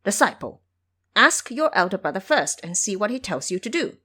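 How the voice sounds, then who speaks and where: Male elder monk, voiced by a woman, earnest master with a measured, admonishing tone for audio drama. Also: medium pitch, big pitch swings, pushed and bright, breathy edge, exaggerated voice. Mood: earnest, coaxing.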